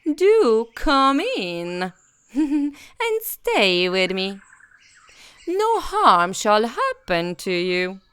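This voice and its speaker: A woman voicing an ancient wicked witch who feigns sweet kindness, coaxing in a drawn-out sing-song storybook way. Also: a little high, huge pitch swings, a bit bright, clean tone, pitched above the performer's own voice, heavily acted. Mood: sweetly coaxing.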